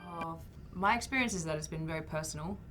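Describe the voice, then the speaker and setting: A woman with a slightly low voice talks in a roundtable interview. She speaks softly and thoughtfully, pausing and restarting as she thinks. Her tone stays casual. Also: soft background music. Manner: thoughtful, soft, hesitant. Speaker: actor guest.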